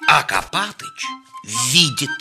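Theatrical, wildly swooping cartoon animal. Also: male voice, fairly high pitch, very animated, gravelly, music in the background, exaggerated voice. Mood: theatrical lecturing. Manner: halting, repeats and stretches words dramatically. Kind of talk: children's cartoon voice acting.

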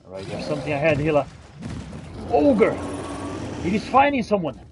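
A man's voice: a little high, huge pitch swings, normal speed, background noise. A man playing a video game in character, talking to companions in old-fashioned phrasing. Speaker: gamer role-playing his character. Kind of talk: in-character game roleplay commentary.

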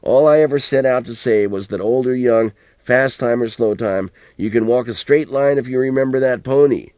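A man's voice: medium pitch, darkish tone, dry recording, pitched above the performer's own voice, close to an everyday voice. A man voicing a coarse, wry old country grandfather who talks in a folksy rural drawl and curses freely. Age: older.

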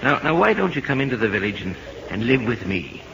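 An actor playing a kindly, rustic seaside friend in an old-time radio drama, speaking in a hesitant, folksy way. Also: male voice, medium pitch, sing-song, a little fast, gravelly, a bit bright, muffled recording. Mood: gentle, hesitant. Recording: noisy vintage radio recording.

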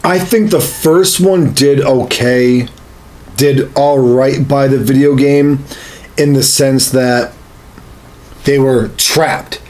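A man's voice: mid-range voice, fairly even intonation, slow, darkish tone, low-quality recording. A man in his thirties chatting casually as a podcast co-host, giving his opinions emphatically. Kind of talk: casual chat. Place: small home studio room. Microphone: podcast mic on desk boom arm.

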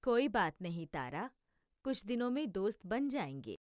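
A woman voicing a gentle, homesick village mother who explains things patiently. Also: mid-range voice, sing-song, moderate pace, no room sound, clean studio sound, close to an everyday voice. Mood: wistful. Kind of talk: educational cartoon voice acting.

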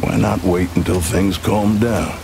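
A man's voice: low-pitched, steady tone, unhurried, creaky, over music. A man playing a fatherly older lawman in a video game, cautious and grave. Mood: concerned.

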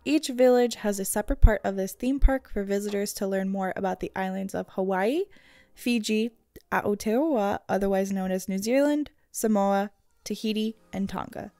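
A woman's voice: mid-range voice, moderate pace, mellow, dry recording. A woman reading a scripted travel guide narration for a vlog.

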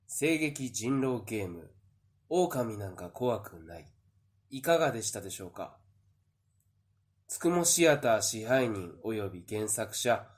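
A man giving a polite, earnest thank-you announcement as the director of a drama troupe. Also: lowish voice. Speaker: troupe director and writer giving closing address.

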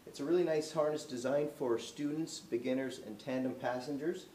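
A man's voice: mid-range voice, moderate pace. Man demonstrating gear in a roomy showroom. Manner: relaxed, unscripted, many fillers and restarts; moving around while demonstrating. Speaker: product demonstrator. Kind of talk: casual product walkthrough. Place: indoor showroom, medium-sized room. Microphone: camera mic from a distance. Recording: roomy echo, distant camera mic, faint hiss.

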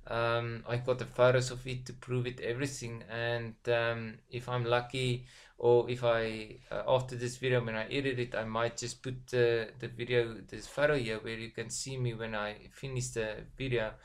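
Halting Afrikaans-accented man on a webcam. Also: medium pitch, flat delivery. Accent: South African Afrikaans. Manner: halting, many ums and repeated words. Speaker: online business vlogger. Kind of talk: casual motivational talk to camera. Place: small room at home, indoors. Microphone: webcam at desk distance.

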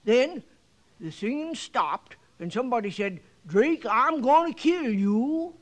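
An actor playing a garrulous, excitable livery stable owner in an old-time radio western, with an American Western accent and a rambling, hesitant folksy way of talking. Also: male voice, extremely high pitch, slow pace, heavily acted. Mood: excited, spooked.

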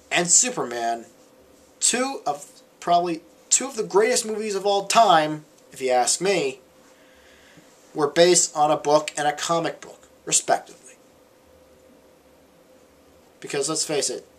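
Young male vlogger, voice a bit on the high side, conversational and emphatic, recorded indoors on a webcam. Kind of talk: casual opinion monologue read to camera. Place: small bedroom, indoors. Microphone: camera or webcam at arm's length.

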